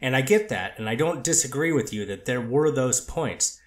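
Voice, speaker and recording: A man reading the part of a treatment counselor testifying in court, hedging and halting with many restarts. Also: mid-range voice, lively intonation, echoey room, natural voice. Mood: measured. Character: earnest male counselor on the witness stand. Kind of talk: amateur readers theater reading of a court transcript.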